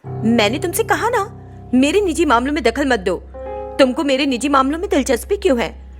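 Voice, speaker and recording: A woman's voice: high voice, sing-song, normal speed, soft background music. A woman voicing a haughty, aloof film actress and mistress of the house, curt and imperious in a story. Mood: irritated, curt.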